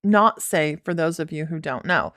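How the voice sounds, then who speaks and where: A woman's voice: sits low, animated, normal speed, fairly bright tone, no room sound, studio quality. A middle-aged woman co-hosting a casual podcast, blunt and dryly teasing. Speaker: podcast co-host. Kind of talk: casual chat.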